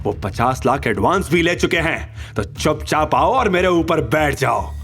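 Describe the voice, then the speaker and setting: A man's voice: on the high side, animated, a little slow, fairly bright tone, pitched above the performer's own voice. A man playing a rich, arrogant, lecherous villain in an audio drama, leering and condescending with a short temper. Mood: cruel, gloating. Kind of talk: Hindi audio drama dialogue. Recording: faint music behind.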